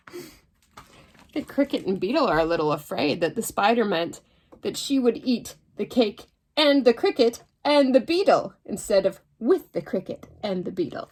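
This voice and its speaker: A woman hosts a children's storytime, reading a picture book aloud. Her high voice rises and falls expressively at an unhurried pace, with affectionate, chatty asides. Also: big pitch swings, darkish tone, slight background noise. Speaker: storytime presenter.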